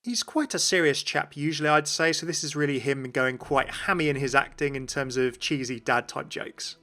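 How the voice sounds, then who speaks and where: A man's voice: fairly high pitch, moderate pace, sharp tone. A man narrating a video as a game commentator, explaining things in an informal way.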